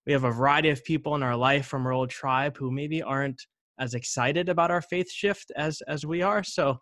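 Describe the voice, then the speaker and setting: A man's voice: a little high, a little fast, fairly bright tone, no room sound, clean studio sound. A man hosting a podcast, talking in a friendly, chatty way with many fillers. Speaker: podcast host. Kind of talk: casual interview chat.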